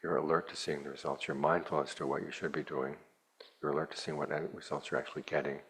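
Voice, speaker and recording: A man, a Buddhist monk, giving a plainspoken, measured dharma talk to a retreat group with a dry, wry touch. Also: very deep voice, little pitch movement, fairly bright tone, husky.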